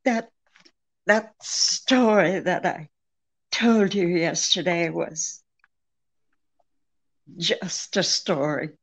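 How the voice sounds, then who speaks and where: An actress playing a secretive, seemingly helpless femme fatale client in an old-time radio mystery, halting and pleading with a throb in her voice. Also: on the low side, slightly rough, exaggerated voice. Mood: nervous, confessing. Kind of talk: old-time radio detective drama re-creation.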